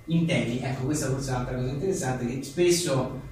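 A man's voice: mid-range voice, fast talker, darkish tone. A man being interviewed as an author at an informal book presentation, rambling animatedly and acting out imagined exchanges. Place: echoey indoor venue at a live event. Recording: very echoey room, distant mic, faint noise.

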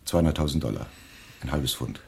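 A deep-voiced, slightly rough male schemer in a radio play. He is nervous and evasive, haltingly justifying himself as someone afraid for his life. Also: dark tone, full character voice. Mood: sheepish, confiding. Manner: hesitant, halting, self-justifying. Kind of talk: German radio drama acting.

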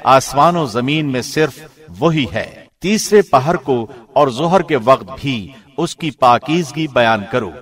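Calm male radio voice reading scripture. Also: medium pitch. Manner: calm, even, formal delivery. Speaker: radio host reading a translation. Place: studio.

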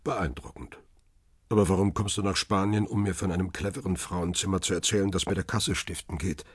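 Deep, raspy wry male photographer. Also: a little fast, no room sound, studio quality. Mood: dry, wry. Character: worldly male press photographer, guarded and dryly ironic. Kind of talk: radio crime drama dialogue.

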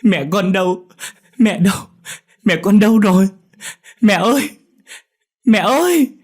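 A man's voice: sits high, animated, unhurried, breathy edge, pitched above the performer's own voice, heavily acted. Man acting as a wailing, desperate young boy. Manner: wailing, pleading, stammering when shocked. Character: distraught young boy crying desperately for his mother.

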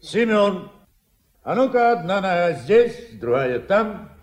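Актёр в радиоспектакле играет простого деревенского мужика, который подначивает хвастуна и говорит протяжно, по-народному. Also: male voice, high-pitched, big pitch swings, slow, exaggerated voice. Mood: curious, coaxing. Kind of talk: Soviet radio play acting. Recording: 1979 radio play with music.

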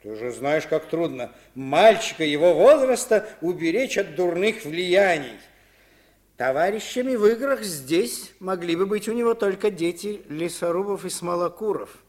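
A man's voice: sits high, lively intonation, moderate pace, darkish tone. A man playing a stern, snobbish, pompous father in a radio play. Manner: pompous, formal. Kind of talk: 1950s Soviet radio play acting.

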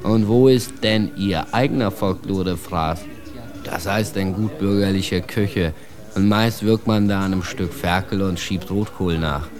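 A man's voice: sits low, a little slow, a crowd in the background. An actor playing a proud immigrant man ranting with bitter contempt, in a declamatory slang tirade for a radio drama. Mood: bitter, scornful.

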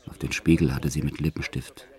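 Radio play lead: brutal career criminal confessing matter-of-factly, extremely low voice. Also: male voice, flat delivery, brisk, darkish tone. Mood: subdued, brooding. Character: violent male career criminal confessing his life, brutal yet tender. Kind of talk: first-person radio play narration.

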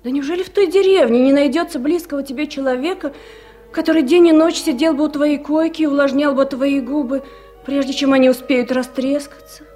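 High-voiced, tender young mother, played by an actress. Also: steady tone, brisk, faint music behind, lightly acted. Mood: gently insistent. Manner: gentle, solicitous, reassuring. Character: tender, caring mother, appearing young in memory. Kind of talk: 1970s radio drama acting.

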